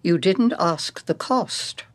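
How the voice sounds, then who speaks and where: An actress playing a shrewd, controlling, enigmatic older woman in a radio drama, probing in a deliberate, knowing way. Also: on the low side, expressive, no room sound.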